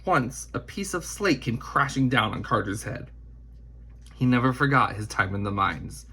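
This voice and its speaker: A man reads a storybook aloud with deliberate emphasis. His voice is bright and forward with a rough, husky grain, and his pitch moves in an animated way from phrase to phrase. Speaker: picture book reader. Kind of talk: scripted storybook read-aloud.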